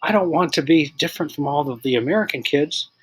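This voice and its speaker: A man's voice: a little high, expressive, fast, slightly rough. A man voicing a shy, earnest young schoolgirl. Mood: unsure.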